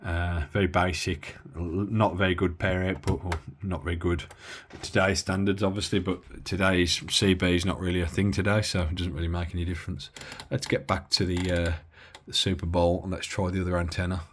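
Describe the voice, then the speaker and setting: A man from the Midlands demoing a radio at his bench in a casual, halting way with lots of fillers. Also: deep voice, flat delivery, slight background noise. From Midlands of England. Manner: halting, many fillers and restarts. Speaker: radio hobby vlogger. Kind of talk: casual hands-on demo.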